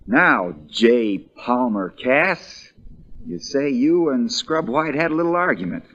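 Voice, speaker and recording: A man's voice: medium pitch, lively intonation, takes it slowly, fairly bright tone, highs cut off. A man playing a plain-spoken, wry country lawyer with a folksy drawl, in the style of 1940s radio drama. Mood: dryly teasing. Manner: folksy, drawling, dryly teasing.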